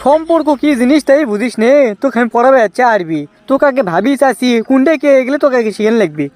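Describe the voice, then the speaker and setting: A man's voice: very high-pitched, lively intonation, fast talker, darkish tone. A man voicing an insistent, scolding village Arabic teacher with a Bogura Bangladeshi accent in a comic cartoon. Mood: indignant.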